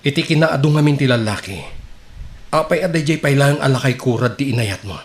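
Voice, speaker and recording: A man's voice: mid-range voice, brisk, close to an everyday voice. An actor with an Ilocano Filipino accent playing a jilted, reproachful suitor who needles his beloved in a radio comedy drama. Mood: hurt, reproachful.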